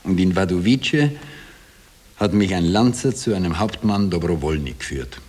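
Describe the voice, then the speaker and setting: A man's voice: low voice, lively intonation, moderate pace, darkish tone. An actor playing a haunted Austrian former corporal, telling his wartime imposture in colloquial dialect in a 1960s radio drama. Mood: earnest recounting. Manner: colloquial dialect storytelling, broken into short phrases. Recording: hissy old radio tape.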